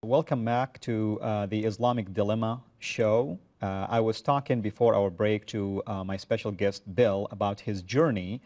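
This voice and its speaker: A man's voice: lowish voice, steady tone, moderate pace, few pauses, a little mellow. Hesitant male talk show host from Saudi Arabia. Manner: hesitant, many fillers. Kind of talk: talk show hosting and personal testimony.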